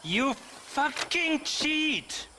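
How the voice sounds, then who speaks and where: A short-fused German card player for a western game. The German-accented voice is high and sharp, combative and indignant. Some noise sits behind it. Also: male voice, big pitch swings, drawn-out words, exaggerated voice. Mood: furious, accusing. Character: hot-tempered, belligerent German card player. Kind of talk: video game western acting.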